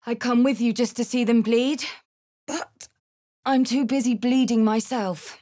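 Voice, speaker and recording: A woman's voice: on the high side, fairly even intonation, a little slow, dark tone, natural voice. A woman voicing a bold, blunt, battle-hardened tiefling warrior for a fantasy video game, with dramatic delivery. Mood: defiant, fierce.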